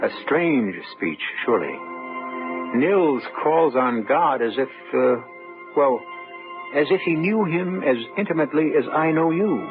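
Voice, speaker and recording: A man's voice: on the high side, lively intonation, a little slow. A man hosting a radio drama, narrating in an intimate, wry, theatrical way. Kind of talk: confiding scripted narration. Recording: tinny old radio broadcast. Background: music.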